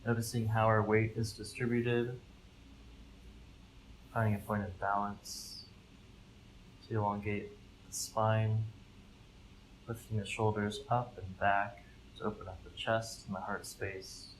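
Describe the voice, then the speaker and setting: A live recording with noticeable background noise carries a man's low voice. He guides a meditation calmly, with little melodic movement. His instructions come gently in measured phrases, with room to breathe between them. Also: rough recording. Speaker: mindfulness fellow, meditation guide.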